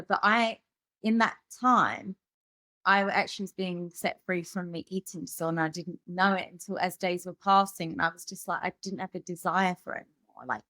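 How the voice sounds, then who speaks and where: A woman's voice: mid-range voice, a bit bright, no room sound. Female musician guest from the UK, candid storyteller, filler-heavy, dry recording. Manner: rambling, many fillers and restarts.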